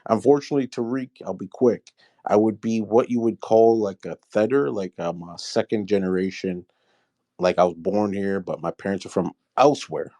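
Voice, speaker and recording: Hesitant male caller, low and dry. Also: steady tone, normal speed, dark tone, no room sound. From Michigan, USA. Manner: hesitant, rambling, many fillers. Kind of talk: casual call-in chat.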